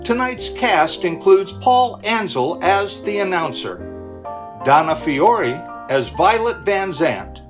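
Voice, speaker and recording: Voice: medium pitch, expressive, slow pace, over music. An announcer reading scripted closing credits for a radio theater show.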